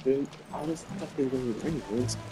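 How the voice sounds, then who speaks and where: A woman's voice: extremely low pitch, fast, rough recording. A woman giving casual, sweary gameplay commentary as she reacts to a story. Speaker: game streamer reacting to story. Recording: loud game music behind the voice.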